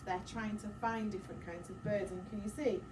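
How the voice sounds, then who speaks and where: A woman's voice: medium pitch, fairly even intonation, brisk, echoey room. Female primary teacher, simple explanations and questions for little ones, recorded at a distance in a noisy room. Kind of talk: interactive story-time lesson for young children. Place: echoey classroom with children.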